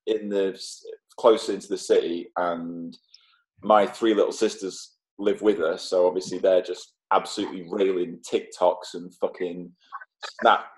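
A man's voice: low-pitched, low-quality recording. A British man in his thirties chatting casually in a rambling, sweary way. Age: 30s. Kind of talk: casual chat.

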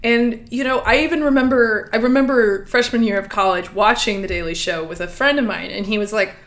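A woman's voice: a little high, brisk, a bit bright. A woman co-hosting a podcast, chatting casually and sardonically about politics and comedy, swearing freely. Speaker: podcast co-host.

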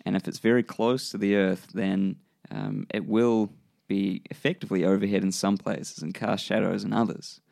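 Gravelly New Zealand man, dry sound. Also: on the low side, normal speed, hardly any pauses, no echo. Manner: relaxed explainer with dry humor, frequent ums. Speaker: podcast co-host. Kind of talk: casual science chat.

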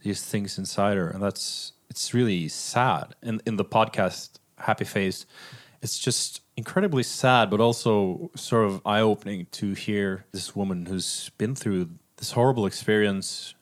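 A man's voice: on the low side, unhurried. A man co-hosting a film podcast, talking casually and analytically, often hedging his points.

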